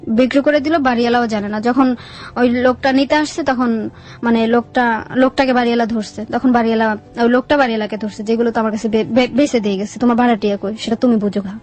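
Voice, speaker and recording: A woman's voice: fairly high pitch, steady tone, fast, a little mellow. A young adult woman with a Bangladeshi Bengali accent telling her life story in a colloquial, plain-spoken way as an interview guest.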